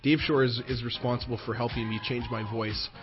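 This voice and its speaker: Male hockey radio panelist, jokey and animated, dull broadcast audio, faint music bed. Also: mid-range voice, a little fast. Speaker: radio show co-host. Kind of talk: casual sports radio talk.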